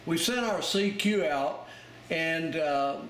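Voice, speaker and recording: A man's voice: fairly high pitch, slow, a bit bright. A man giving an informal hands-on tutorial as an instructor, explaining each step plainly as he goes. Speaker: amateur radio Morse code instructor. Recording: roomy, very noisy, with clicking and beeps.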